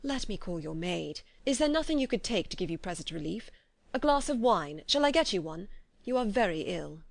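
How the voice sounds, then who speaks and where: A woman reading the part of a concerned young gentleman, speaking gently but with restraint. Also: medium pitch, animated, moderate pace, dry recording, natural voice. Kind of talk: audiobook character voice.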